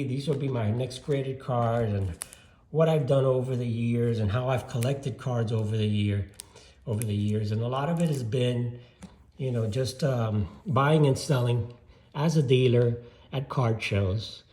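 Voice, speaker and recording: A man's voice: medium pitch, mellow, roomy sound. An older man from Cuba chatting casually about his collection, rambling with lots of fillers and restarts. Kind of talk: casual show-and-tell vlog.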